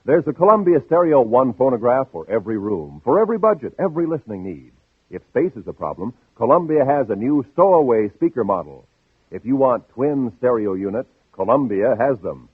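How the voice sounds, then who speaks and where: An American man reading a polished, emphatic sales pitch as a commercial announcer in 1950s radio style. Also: breathy edge, dry recording. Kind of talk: 1950s radio advertising read. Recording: dry narrow-band old radio recording.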